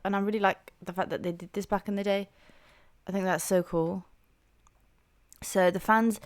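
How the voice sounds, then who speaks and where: A young woman hosting a music reaction channel, chatting casually and enthusiastically with a soft voice and lots of "like" and "um". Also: lowish voice. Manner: chatty and enthusiastic, heavy use of "like" and "um".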